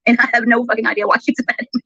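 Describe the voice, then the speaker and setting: A woman's voice: on the high side, level tone, rapid-fire, creaky, sharp tone, slightly rough, dry recording. A woman chatting casually on a live stream in a giggly, crude, incredulous manner. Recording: dull, lo-fi replayed stream audio.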